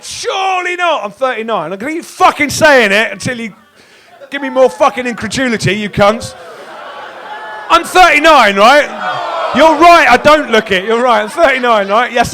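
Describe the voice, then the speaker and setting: A man doing a stand-up set in a comedy club, sardonic and sweary, shouting punchlines at the crowd on a handheld stage mic. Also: very high voice. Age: 30s. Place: dark comedy club stage with live audience.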